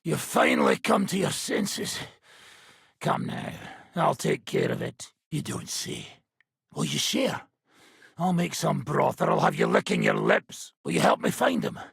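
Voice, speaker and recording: An actor playing a rough, hot-tempered, hard-drinking mercenary soldier in a video game, with a gravelly, raspy voice and coarse, blunt speech. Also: male voice, on the high side, lively intonation, a little slow, fairly bright tone, no room sound, clean studio sound, heavily acted. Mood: gruff, insistent. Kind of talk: video game character dialogue.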